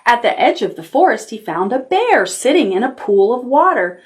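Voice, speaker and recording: A woman's voice: on the high side, very animated, normal speed. An American woman leading storytime for toddlers, speaking in a sing-song read-aloud style with simple prompts for small children.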